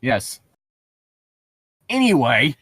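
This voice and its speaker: Husky man in casual, dry-sounding chat. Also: mid-range voice, very animated, extremely slow, sharp tone, dry recording. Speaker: podcast co-host reading a novel aloud with commentary. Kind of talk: casual chat and amateur book reading.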